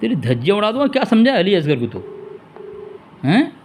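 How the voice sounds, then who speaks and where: A man's voice: high-pitched, sing-song, a little fast, darkish tone, slight background noise. A man in a heated religious debate, speaking in a combative, scolding and repetitive way as he argues and addresses listeners.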